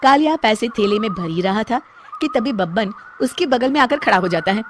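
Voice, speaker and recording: A woman narrates a scripted story in a fairly high voice, as a storyteller does. Clear background noise runs through the recording. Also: lively intonation, brisk.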